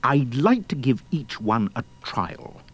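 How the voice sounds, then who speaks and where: A woman's voice: deep voice, wildly swooping pitch, a little slow, no room sound, pitched below the performer's own voice, full character voice. A woman voicing a pompous, self-pitying, shifty king in a comic fairy tale. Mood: sly.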